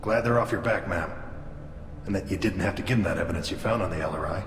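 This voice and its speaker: A man's voice: sits low, fast, lightly acted. A man playing a respectful, dryly humorous alien marine in a video game. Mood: amused, relieved. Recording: echoey hall reverb, faint music.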